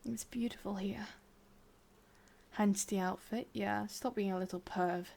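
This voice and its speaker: A woman with an English accent voicing a sadistic, lovesick witch in a whispered, intimate ASMR roleplay, murmuring slowly and haltingly. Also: medium pitch, steady tone, a little mellow. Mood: casual, musing. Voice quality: breathy, whispery. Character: sadistic, lovesick young witch, wistful and possessive.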